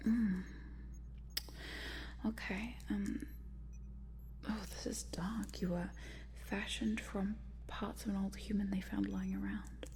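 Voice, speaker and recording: A woman playing a quirky, lonely scientist in a whispered ASMR roleplay, softly muttering to herself as she tinkers. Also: mid-range voice, little pitch movement, slow pace, pauses often, slight background noise. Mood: curious, concerned. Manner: soft, hesitant, muttering to herself. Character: quirky lonely female scientist repairing a robot in her lab.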